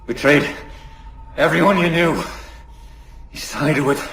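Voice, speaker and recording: Game role: wounded, betrayed assassin, bitter; unhurried speech, roomy echo, ambient noise. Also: male voice, on the high side, gravelly, a bit bright, full character voice. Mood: bitter accusing. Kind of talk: dramatic video game cutscene acting. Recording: echoey, with background ambience noise.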